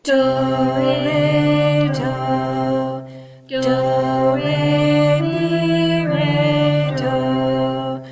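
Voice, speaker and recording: Female singer for solfege warm-ups, high register, extremely slow, prominent music. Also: little pitch movement, creaky, reverberant. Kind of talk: singing solfege scales as vocal warm-up.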